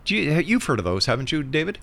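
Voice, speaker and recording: A man's voice: fairly high pitch, big pitch swings, fast talker, creaky, pushed and bright. A man presenting a radio talk show with an emphatic announcer's delivery in short phrases.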